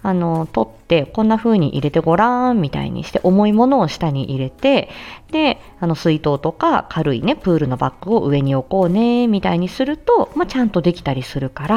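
A woman talks on her own in a casual, explanatory way. Her voice is fairly low and mellow, with very lively swings in pitch. She speaks emphatically, as if checking that the listener follows, on a slightly muffled recording. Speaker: speech therapist, audio show host. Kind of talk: casual explanatory solo talk.

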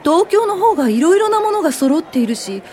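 High-voiced, baffled city woman friend. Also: very animated, brisk, a little mellow, soft background music. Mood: puzzled. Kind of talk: radio drama dialogue.

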